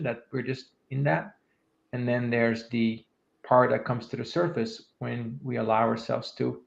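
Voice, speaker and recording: A man in his fifties co-hosting a podcast, calmly talking listeners through a reflective exercise. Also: mid-range voice, creaky. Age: 50s. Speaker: podcast co-host, leadership coach. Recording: echoey room, dull lo-fi sound.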